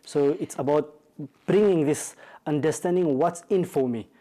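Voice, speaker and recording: A man from Mauritius with a Mauritian accent, a marital counselor speaking as a guest on a talk show, measured with frequent hesitations and restarts. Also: fairly high pitch, dark tone. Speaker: marital counselor and Islamic studies teacher.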